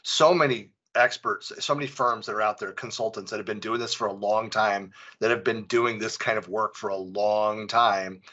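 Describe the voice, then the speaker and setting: A middle-aged man speaking casually on a video-call panel, halting and often rephrasing himself. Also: fairly low pitch, steady tone, pushed and bright. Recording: slightly dull video call audio.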